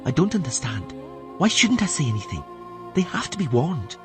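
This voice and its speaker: Man putting on a high, insistent young girl. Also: big pitch swings, moderate pace, over music. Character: young girl, questioning, stubborn and anxious. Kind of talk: audiobook character voice.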